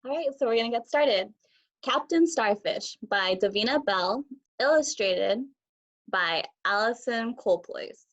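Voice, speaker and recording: A woman's voice: on the high side, expressive, a little slow. A woman teacher reading a picture book for children, recorded with dull, lo-fi sound. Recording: dull lo-fi audio.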